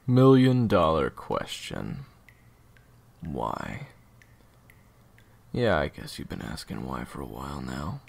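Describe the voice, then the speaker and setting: A man acts out a menacing, controlled assassin in an audio drama. His very deep, gravelly voice swings widely as he delivers deliberate threats, short-tempered beneath the cold control. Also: wildly swooping pitch, darkish tone, exaggerated voice. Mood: demanding. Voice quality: husky, gravelly. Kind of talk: audio roleplay acting.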